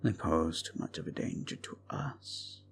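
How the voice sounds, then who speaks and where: A man voicing an unhinged, paranoid, possessive young prince in an audio drama, speaking softly and sometimes in a menacing whisper. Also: lightly acted. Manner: soft, slow, often whispering, menacing. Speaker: voice actor. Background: background music.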